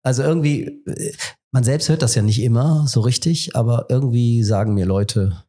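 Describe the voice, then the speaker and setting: A man with a husky, middle-pitched voice, congested from a head cold. He hosts a casual podcast from a rectory kitchen table and feels his way through his thoughts, pausing with fillers before he lands on a phrase. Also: dark tone. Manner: thinks aloud with many pauses and fillers. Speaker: podcast host. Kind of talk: casual conversation.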